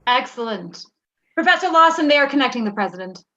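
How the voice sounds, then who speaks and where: Efficient, obedient official played by a woman, forceful edge, clipped stage delivery, slightly lo-fi. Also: high voice, very animated, quick pace, exaggerated voice. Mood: attentive. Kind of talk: staged play reading.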